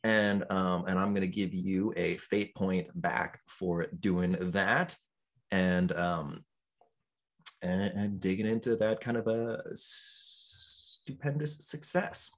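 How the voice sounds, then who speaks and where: A man running a tabletop role-playing session as game master, encouraging the players and pausing often with ums. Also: low-pitched, steady tone. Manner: encouraging, hesitant with frequent ums.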